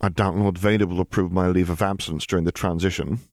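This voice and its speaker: A man's voice: low voice, steady tone, fast, a little mellow, no echo, studio quality. Man playing a formal, reserved naval officer. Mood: reserved, resigned. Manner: formal, clipped, polite phrasing.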